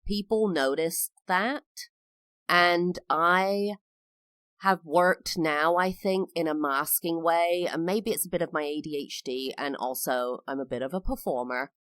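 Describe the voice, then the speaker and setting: Woman, 30s, English accent with slight American, deep voice, sing-song delivery, podcast host. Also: measured pace, a bit bright, no room sound, studio quality. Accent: English with a slight American tinge. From United Kingdom. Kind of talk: personal podcast monologue.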